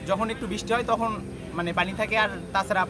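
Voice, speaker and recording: A man's voice: high-pitched, fairly even intonation, fast. A man from Bangladesh, a local resident, speaking outdoors in a matter-of-fact way. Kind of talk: casual on-location comments.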